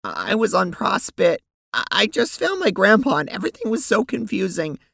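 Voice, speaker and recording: A woman playing an anguished, blunt teenage sprite girl in an audio drama, speaking in a hesitant, emotional, halting way. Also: medium pitch, wildly swooping pitch, gravelly, fairly bright tone, no echo, clean studio sound, heavily acted. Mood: distressed, miserable.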